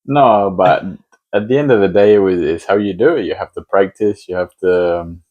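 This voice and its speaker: A man's voice: deep voice, normal speed, darkish tone, dull audio. A man hosting a podcast, asking long, thoughtful hypothetical questions in a casual conversation. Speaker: podcast host.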